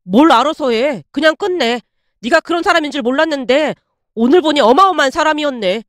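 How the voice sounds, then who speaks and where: A woman's voice: high-pitched, expressive, fairly quick, no room sound, clean studio sound. A woman voicing an exasperated, indignant young man in a dramatized story, blunt and scolding. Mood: fed up.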